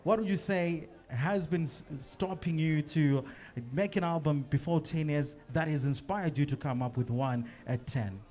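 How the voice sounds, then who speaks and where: Higher-voiced male radio co-host. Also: normal speed, dark tone. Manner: hesitant, stumbling questions. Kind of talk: live radio interviewing. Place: radio studio.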